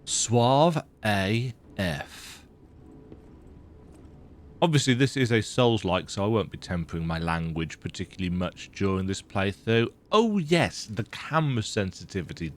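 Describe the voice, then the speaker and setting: A man with a croaky voice giving casual, rambling, self-deprecating commentary while he plays a video game. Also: sits low, very animated, unhurried. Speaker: let's play gamer.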